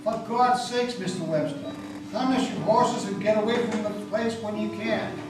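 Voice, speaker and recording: A man's voice: sits high, steady tone, moderate pace, pitched above the performer's own voice, natural voice. A man playing a desperate, guilt-ridden New England farmer, anguished, shaky and pleading, in a dramatic reading of a folk tale. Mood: terrified, urgent. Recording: echoey hall, distant mic, music.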